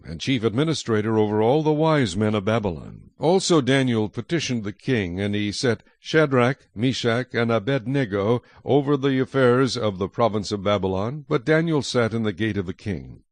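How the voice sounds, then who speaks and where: A man's voice: medium pitch, a little mellow, no room sound. A man narrating scripture from a script as the narrator of a dramatized reading.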